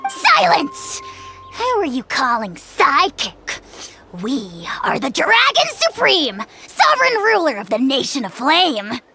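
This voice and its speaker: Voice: sits high, wildly swooping pitch, slow, pushed and bright, faint music behind, exaggerated voice. An actor voicing an arrogant little dragon lord in a video game, pompous and grandiloquent. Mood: indignant.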